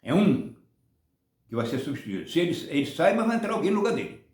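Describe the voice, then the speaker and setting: A man in his seventies from Brazil, rambling through casual football commentary on his own channel, often ending points with a quick "tá?". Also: on the high side, sing-song, darkish tone, reverberant. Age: 70s. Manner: rambling, many restarts and tag "tá?".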